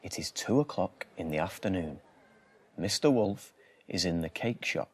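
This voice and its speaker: A man narrating a children's bedtime story in a theatrical, expressive way. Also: sits low, moderate pace, slightly rough.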